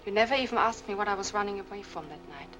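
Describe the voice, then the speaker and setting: An actress playing a troubled, demure young French widow in a 1940s Hollywood film. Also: female voice, mid-range voice, expressive, very fast, natural voice. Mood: troubled, sorrowful. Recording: old film soundtrack, music behind.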